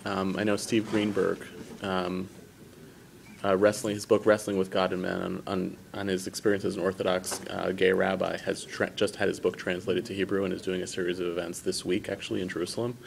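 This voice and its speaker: Male seminar leader, very low gravelly voice, thoughtful and halting in answers, faint room noise. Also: flat delivery. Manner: halting, thoughtful, rephrasing as he goes. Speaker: lecturer leading a learning session. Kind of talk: answering audience questions at a seminar.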